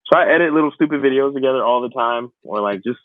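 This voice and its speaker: A man's voice: mid-range voice, fast, no echo. A man in his twenties co-hosting a podcast, chatting casually in a chummy, self-deprecating way. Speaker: podcast co-host. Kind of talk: casual chat. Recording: tinny phone-like line, dry.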